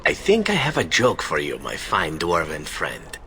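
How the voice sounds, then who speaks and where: Animated, playful roguish adventurer. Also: male voice, deep voice, moderate pace, a little hiss or hum, exaggerated voice. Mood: sly amusement. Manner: showy, theatrical storytelling. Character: playful, roguish male adventurer telling tales. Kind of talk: fantasy video game voice acting.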